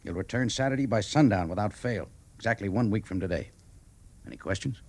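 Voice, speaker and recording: An actor playing a wry, authoritative cavalry major in a classic radio drama, speaking in a measured way. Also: male voice, lowish voice, lively intonation, darkish tone. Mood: commanding. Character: wry, weary male cavalry major, commanding officer. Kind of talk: classic old-time radio drama acting.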